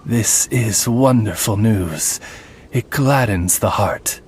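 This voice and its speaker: A man voicing a courtly, formal servant familiar in a video game, measured and deferential with ornate diction. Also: fairly low pitch, a little mellow, a little hiss or hum. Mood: gratified. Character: courtly, formal male familiar serving a princess. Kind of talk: video game cutscene acting.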